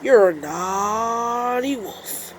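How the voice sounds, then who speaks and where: A woman's voice: on the high side, lively intonation, slight background noise, pitched above the performer's own voice, rough recording. A woman voicing a stern elderly male turtle shopkeeper in a storybook, drawing out each scolding word. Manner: very slow, drawn-out scolding. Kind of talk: children's storybook read-aloud.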